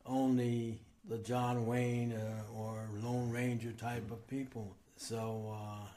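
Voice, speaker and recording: A man in his eighties answering interview questions in a halting, reflective way. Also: mid-range voice, flat delivery, dark tone, slight background noise. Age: 80s. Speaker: interviewed artist and educator. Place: art studio.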